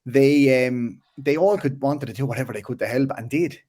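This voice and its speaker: A man in his thirties with a Waterford Irish accent, a filmmaker chatting casually as an interview guest, animated and full of restarts. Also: medium pitch, moderate pace. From Ireland. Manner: animated, restarts often, frequent "like" and "you know".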